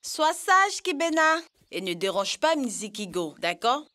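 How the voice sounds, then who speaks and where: A woman's voice: high voice, very animated, a little slow, sharp tone, exaggerated voice. A woman playing a caring but firm grandmother giving instructions in a children's cartoon dub.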